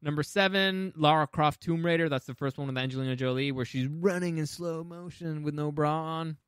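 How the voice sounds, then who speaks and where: A man co-hosting a podcast in loose, argumentative banter. His voice sits a little high for a man and is recorded very dry. He is brash and swears freely. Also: fairly quick, a bit bright, clear, resonant tone, no echo. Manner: brash, foul-mouthed, argumentative. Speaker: podcast co-host. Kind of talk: casual chat, pop culture ranking banter.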